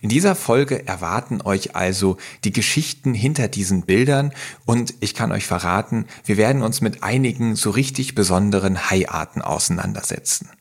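A man's voice: on the low side, lively intonation, moderate pace. A man hosting an interview podcast, introducing the episode and asking questions with friendly enthusiasm. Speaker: podcast host.

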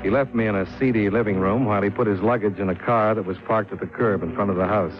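Guy acting a wry 50s radio private eye, lowish voice, clipped n dry, old muffled recording. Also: steady tone, fast, over music, natural voice. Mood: wry, wary. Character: shrewd, wry hard-boiled male private investigator. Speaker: narrator and lead of radio detective drama. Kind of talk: 1950s radio acting, hard-boiled narration.

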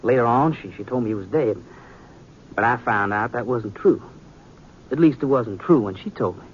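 A man's voice: normal speed, slight background noise, lightly acted. A man acting a plain-spoken, determined young American sheriff in a 1950s radio western. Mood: earnest, wistful. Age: young adult. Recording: muffled, rumbly 1950s radio recording.

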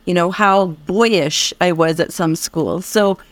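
A woman's voice: on the low side, slow, no room sound. A woman from the United States hosting a conversational interview, empathetically relating to the guest. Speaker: podcast host.

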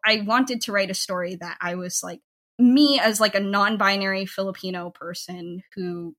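A woman's voice: mid-range voice, fairly even intonation, moderate pace, a bit bright. A woman with an American accent speaking casually and earnestly as an interview guest, with an effusive, reflective way of talking. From United States. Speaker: interview guest, audio drama creator.

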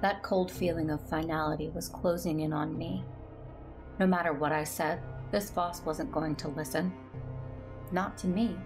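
Female Jedi lead for audio drama: earnest, determined, introspective, on the lower side with gravelly edges. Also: steady tone, moderate pace, over music. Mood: grim, reflective. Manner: introspective, earnest first-person narrating. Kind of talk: audio drama acting with narration.

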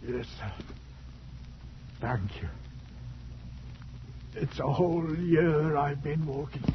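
An actor playing a haunted, deranged British ex-soldier, halting and dazed, speaking roughly in 1940s radio drama style. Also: male voice, on the high side. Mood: dazed, broken. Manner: halting, dazed, uneducated speech. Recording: muffled, noisy 1940s radio recording.

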